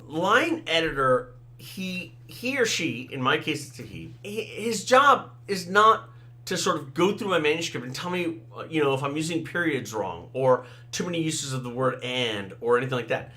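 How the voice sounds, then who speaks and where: A man's voice: fairly high pitch, fairly bright tone. A middle-aged man whose first language is Tamil, hosting a casual solo talk about writing and editing, with frequent fillers and "right?" asides. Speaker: podcast host, author and game designer.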